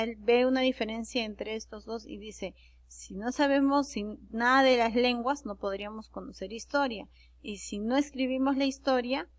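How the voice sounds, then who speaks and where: A Peruvian woman lecturing a class, her voice sitting a little high. She explains step by step, pausing on fillers and correcting herself as she goes. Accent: Peruvian Spanish. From Peru. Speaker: teacher. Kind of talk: recorded online class lecture.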